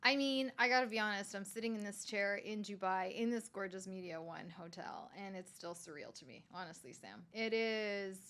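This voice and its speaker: A woman in her forties, raised in Canada with a Calabrese dialect as her first language, chatting as a guest chef in an animated, laughing way. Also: medium pitch, normal speed, few pauses. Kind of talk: casual podcast interview. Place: hotel room.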